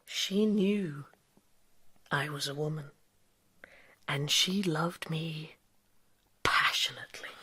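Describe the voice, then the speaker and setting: An actress playing a defiant woman who lived as a man, teasing and theatrical, dropping into breathy hushes, in radio drama style. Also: low voice, slow, long pauses, exaggerated voice. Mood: teasing, intimate.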